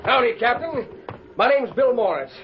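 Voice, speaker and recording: An actor playing an excitable, folksy Old West rancher with exclamatory cowboy talk in a 1950s radio western. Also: male voice, very high-pitched, normal speed, over music. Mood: excited. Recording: muffled, faintly noisy old radio recording.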